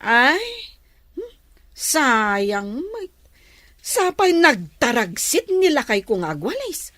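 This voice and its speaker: A high, swooping voice from an actress as an eager village street sweeper, recorded close and dry. She pleads deferentially in an Ilocano accent, in comedy drama style. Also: big pitch swings, slow, a bit bright, no echo, heavily acted. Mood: pleading.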